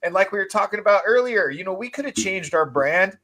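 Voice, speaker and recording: A man's voice: sits high, animated, fairly quick, pushed and bright. A man speaking sincerely and gratefully in a casual, reflective panel conversation. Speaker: podcast panel guest.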